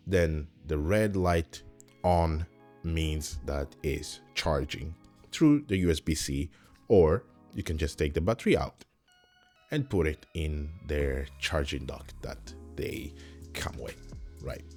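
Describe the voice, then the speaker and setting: Male tech reviewer, very deep voice, slow delivery, casual how-to tone that repeats key points, light music behind. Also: lively intonation, darkish tone. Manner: repeats points, checks in with "right?". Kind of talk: casual explanatory product demo.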